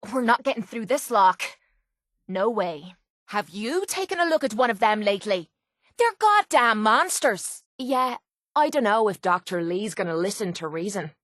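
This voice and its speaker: A woman's voice: mid-range voice, dry recording, studio quality, close to an everyday voice. An actress playing a tough, cynical, streetwise young woman with an Irish accent, as a companion in a video game. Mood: casual, confident, then sardonic, blunt. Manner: blunt, sardonic, streetwise. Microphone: close, dry studio microphone.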